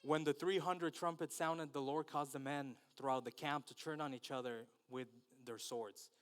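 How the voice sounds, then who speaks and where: A man's voice: on the high side, fairly even intonation, normal speed, smooth. A man from Mexico whose first language is Spanish, preaching in English with a Mexican accent, nervous and hesitant with many fillers as he reads scripture. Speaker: guest preacher. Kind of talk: sermon with scripture reading.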